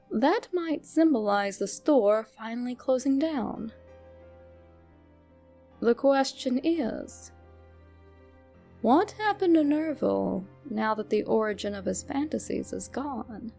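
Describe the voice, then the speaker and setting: High-pitched, expressive woman narrator with creaky edges. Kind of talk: scripted narration of a fan theory horror story.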